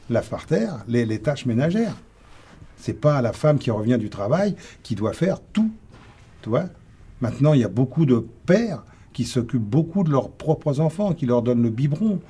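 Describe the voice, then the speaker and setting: A man's voice: mid-range voice, warm tone, slight background noise. An older grandfather speaking in an interview, plain-spoken and informal, stressing his points with emphasis. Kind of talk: casual conversation.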